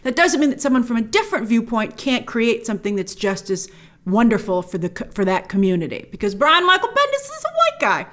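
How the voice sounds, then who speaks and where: A woman's voice: fairly high pitch, wildly swooping pitch, a little fast, fairly bright tone. A woman hosting a review show, giving opinionated commentary straight to viewers and stressing key words emphatically. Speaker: host of a movie review show.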